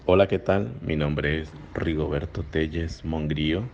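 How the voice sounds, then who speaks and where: A man's voice: a bass voice, fairly even intonation, unhurried, vocal fry, darkish tone. A man in his thirties with a Costa Rican accent, introducing himself and answering interview questions. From San José, Costa Rica. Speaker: school counselor, interviewee. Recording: muffled, noisy recording.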